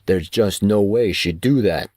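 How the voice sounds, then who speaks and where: A flustered, earnest schoolboy read by a male narrator, halting and easily worked up. It is a storybook teenager, recorded dry and close. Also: fairly low pitch, darkish tone, dry recording. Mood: disbelieving.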